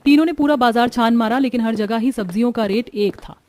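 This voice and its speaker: A woman's voice: a little high, a little fast, dark tone. A woman narrator reading a scripted cartoon story. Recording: music behind the voice.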